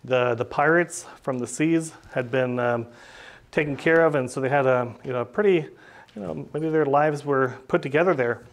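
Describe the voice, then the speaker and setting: A man's voice: mid-range voice, normal speed. A middle-aged man, preaching a teaching sermon at a podium in a small church and speaking plainly with many "uh" and "um" fillers. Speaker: pastor. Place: small church room, indoors. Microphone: podium microphone.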